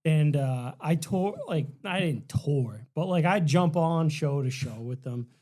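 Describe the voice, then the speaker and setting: A man's voice: a little high, animated, a little slow, mellow. A man with a strong Boston accent, a podcast guest telling stories in loose, profane casual chat. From Massachusetts. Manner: profane, laid-back storytelling.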